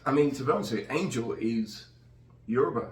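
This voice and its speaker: A man's voice: mid-range voice, lively intonation, a little fast. A middle-aged man from Nigeria hosting a casual podcast conversation, talking earnestly and rambling with many restarts. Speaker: podcast host. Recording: roomy sound, distant from the mic.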